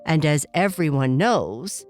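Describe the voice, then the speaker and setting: A woman reading a scripted children's bedtime story as narrator, in a gentle, unhurried manner. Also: deep voice, animated, soft background music.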